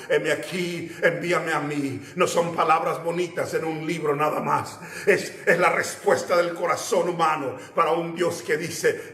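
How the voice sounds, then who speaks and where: A man's voice: sits high, pushed and bright. A man preaching an impassioned church sermon, exhorting his listeners emphatically and repeating phrases for weight. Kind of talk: impassioned church sermon with retold Bible stories. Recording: echoey, reverberant room.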